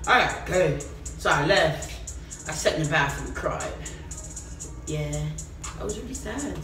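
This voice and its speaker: A woman's voice: low voice, expressive, unhurried. Frank young woman telling stories, far mic. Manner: candid storytelling, bursts of shouting. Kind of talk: casual party game chat. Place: small apartment dining room. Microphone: far camera. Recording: echoey room from far off, music behind.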